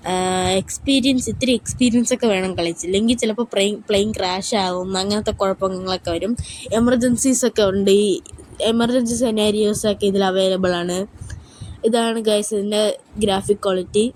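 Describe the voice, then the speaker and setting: A woman's voice: mid-range voice, fairly even intonation, brisk, darkish tone, slight background noise. A woman giving casual, informal game commentary as a YouTuber, often addressing her viewers directly. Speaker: gaming YouTuber.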